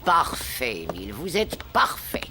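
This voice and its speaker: A woman's voice: very deep voice, huge pitch swings, a little slow, noisy background, heavily acted. Haughty scheming lady, swooping voice. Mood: condescending. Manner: mocking, falsely sweet. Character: haughty, scheming, condescending unmarried woman. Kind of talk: French cartoon voice acting.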